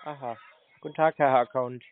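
A man's voice: medium pitch, fairly even intonation, normal speed. Tinny, sarcastic man on a phone call. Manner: sarcastic, scolding, blunt. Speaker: scam baiter. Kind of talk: mocking phone conversation. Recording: tinny phone-like sound, clear noise.

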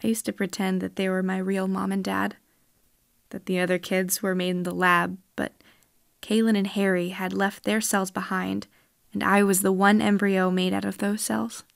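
A woman's voice: medium pitch, little pitch movement, moderate pace, creaky, no echo, clean studio sound, close to an everyday voice. A woman playing an earnest, questioning girl raised in space, in a science fiction audio drama. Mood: earnest, wistful.

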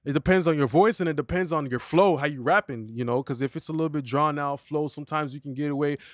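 A man's voice: fairly high pitch, fast, no echo. A young man teaching vocal mixing in a casual, slangy, rambling way, talking into a studio condenser mic in his home studio. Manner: loose, slangy, rambling explanations. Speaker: mixing engineer and music teacher. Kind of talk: casual tutorial talk. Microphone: studio condenser microphone.

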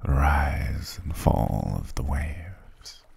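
A man playing a sly, alluring male siren in an ASMR roleplay, speaking softly and coaxingly in a husky, half-whispered voice. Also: very deep voice, very animated, no room sound, heavily acted. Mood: gentle, coaxing. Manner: slow, soft, coaxing. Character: alluring male siren posing as grateful castaway, soothing, sly.

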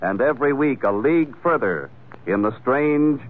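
A man's voice: mid-range voice, animated, moderate pace, heavy background noise. A man announcing a 1940s radio adventure show in a scripted, dramatic style. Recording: narrow, tinny 1940s radio recording.

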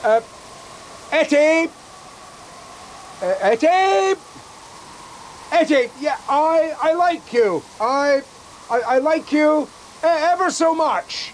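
An actor playing a mild, earnest, awkward psychiatrist with a hesitant, careful way of talking in a radio drama. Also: male voice, extremely high pitch, animated, slow pace, long pauses, a little hiss or hum, full character voice, low-quality recording. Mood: awkward pleading.

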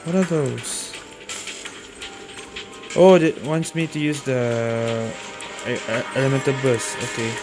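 Low female voice, casual game streamer, thinking aloud, exclamatory, loud game music behind. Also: steady tone, slow, long pauses. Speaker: gaming streamer. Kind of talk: casual gameplay commentary. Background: video game music.

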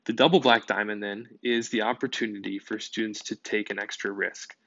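A male teacher narrating a whiteboard lesson in a steady, explanatory way. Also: fairly low pitch, a little fast, no room sound, lo-fi sound.

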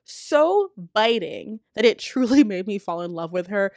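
An American woman in her thirties giving casual, opinionated commentary in an informal, slangy way with animated emphasis. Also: mid-range voice, measured pace, gravelly, no echo. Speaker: video essayist and host. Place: small indoor room with poster-covered walls. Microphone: close studio mic on a boom arm.

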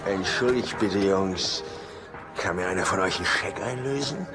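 An actor playing a good-natured, naive middle-aged bridegroom who is tipsy, in a German-dubbed sitcom. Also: male voice, low-pitched, normal speed, over music, natural voice. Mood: tipsy, giddy.

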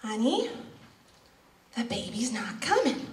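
A woman's voice: on the high side, big pitch swings, takes it slowly, slight background noise. A woman playing a testy, urgent pregnant mother in a comic old-time radio play on stage. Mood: sheepish. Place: church stage, indoors. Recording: echoey stage from far off, loud music.

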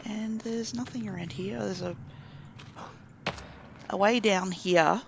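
A man's voice: high-pitched, slow pace, vocal fry, highs cut off, noisy background. A man casually commentating a survival game, thinking aloud as he plays. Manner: relaxed, chatty, thinking aloud while playing. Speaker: gaming commentator. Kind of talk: casual let's play commentary.

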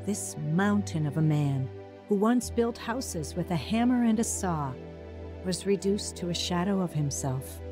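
An actress narrating as a grown woman looking back earnestly on her painful past. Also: on the low side. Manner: earnest reflective. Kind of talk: scripted narration. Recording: narration over music. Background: soft new-age music.

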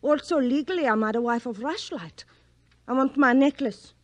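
An actress playing a young, demanding Dutch heiress in a 1940s radio drama, speaking inverted broken English with a Dutch accent. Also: female voice, high-pitched, normal speed, dry recording, lo-fi sound, lightly acted. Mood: matter-of-fact.

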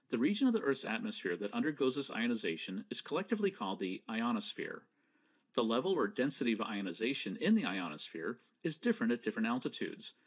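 A man presenting scripted educational narration in a measured, didactic manner with precise enunciation. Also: medium pitch.

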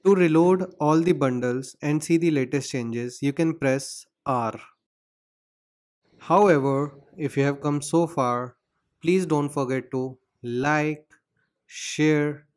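A man's voice: a little high, slow. A man hosting a screen-recorded coding tutorial, explaining steps and spelling out terminal commands. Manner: steady, instructional, spells out commands.

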